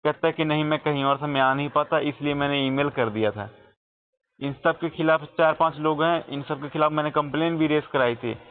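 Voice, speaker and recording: A man's voice: a little high, fairly even intonation, brisk. An Indian man making a complaint as a customer on a phone call, speaking in an insistent, repetitive, aggrieved way. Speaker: customer calling support with a complaint. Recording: tinny phone call recording.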